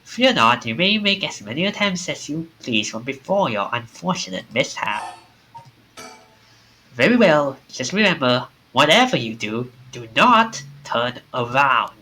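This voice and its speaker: A man's voice: medium pitch, sing-song, a little slow, sharp tone, rough recording. A man voicing a mocking, theatrical game storyteller who scolds with mock politeness. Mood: slyly warning. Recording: music under the voice.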